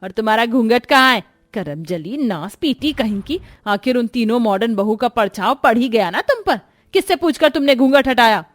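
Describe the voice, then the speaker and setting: Stern older mother-in-law played by a woman, bossy, suspicious, scolding commands, high-pitched, dry close storybook recording. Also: lively intonation, normal speed, few pauses, dry recording, natural voice.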